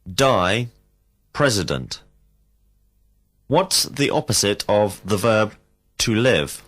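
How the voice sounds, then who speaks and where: Male language teacher, low voice, very slow and deliberate drill prompts. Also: big pitch swings, fairly bright tone. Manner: slow, carefully enunciated prompts. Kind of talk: scripted drill questions for English learners.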